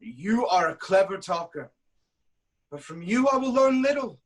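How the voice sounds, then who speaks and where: A man's voice: sits high, very animated, a little slow, exaggerated voice. A man playing an angry, suspicious ancient Greek king in a declamatory, accusing stage tragedy reading.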